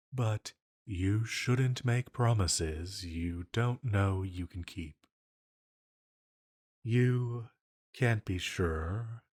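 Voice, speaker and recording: A man playing an ancient banished villain entity in an intimate roleplay audio, flirty yet lonely, musing hesitantly. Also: low voice. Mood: touched, doubtful.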